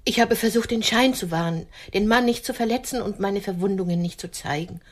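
A woman's voice: mid-range voice, fairly quick, lightly acted. An actress playing a wounded, indignant woman who longs for romance, dramatic and reproachful in a radio play.

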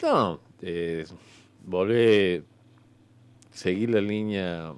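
God as a bumbling office clerk of the afterlife, in a low, smooth voice with a Rioplatense Argentine accent. He rambles haltingly through his explanations, hunting for words as in improvised radio comedy. The sound is close and dry. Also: no echo. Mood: patient, rambling. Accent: Rioplatense Argentine Spanish. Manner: halting, rambling, full of searching pauses. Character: hesitant, bureaucratic God working as an afterlife clerk. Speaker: comedy actor in a radio sketch.

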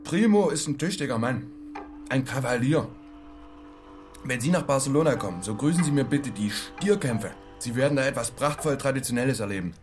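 An actor playing a foolish, self-satisfied wealthy conservative gentleman who pontificates in a German radio play. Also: mid-range voice, lively intonation, a little fast, soft background music, lightly acted. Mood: pompous, smug. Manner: pompous, pontificating.

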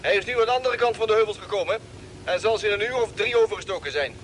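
An actor playing an informal, mildly grumbling spaceship radio operator in a radio drama. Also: male voice, sits high, rapid-fire, fairly bright tone. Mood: matter-of-fact. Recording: old radio play, faint vehicle noise.

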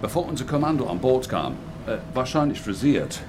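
A man's voice: mid-range voice, very animated, a little fast, lightly acted. Casting: man acting an on-site investigator for radio drama, very varied intonation, matter-of-fact fragments, noisy setting. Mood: businesslike. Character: male investigator briskly presenting evidence on site. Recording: loud background noise and ambience.

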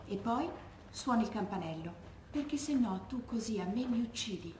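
A woman's voice: a little high, expressive, moderate pace, lightly acted. An actress playing an anguished bereaved daughter who recalls her stoic mother in an intimate, earnest theatrical monologue. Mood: intimate, sorrowful. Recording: echoey room, faint background noise.

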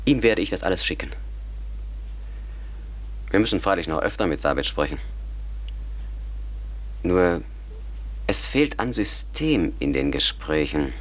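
An actor playing a sceptical, methodical educated man who reasons formally and deliberately in a German radio drama. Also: low-pitched, big pitch swings, full character voice.

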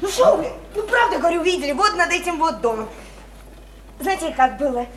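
A woman's voice: extremely high pitch, very animated, fairly quick. An actress in a Russian radio play playing a naive, chatty, insistent provincial woman, eager and coaxing, with colloquial, repetitive talk. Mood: excited, chatty. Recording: roomy, slightly noisy radio play recording.

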